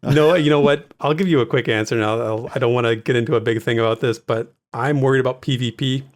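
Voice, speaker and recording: A man with an average-pitched voice chats as a co-host on a gaming show. His delivery is informal and easygoing, like relaxed conversation between friends. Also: quick pace. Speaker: podcast co-host. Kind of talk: casual gaming discussion.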